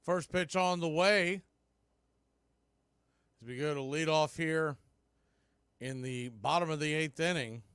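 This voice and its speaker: A man calling a baseball game play by play, rising to excited calls on big plays. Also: a little high, slow, pauses often, clear, resonant tone, no room sound, studio quality. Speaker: play-by-play announcer.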